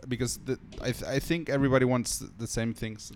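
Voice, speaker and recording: A young man with a medium-pitched voice chats informally with friends on a podcast. His delivery is casual and jokey. There is faint noise behind his voice. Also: steady tone, brisk, a little mellow. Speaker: podcast participant. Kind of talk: casual joking conversation among friends.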